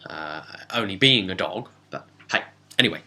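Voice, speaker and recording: Guy game master doing a rambly story recap, lowish voice, really bright and punchy, pauses for drama. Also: animated. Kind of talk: casual spoken recap and storytelling.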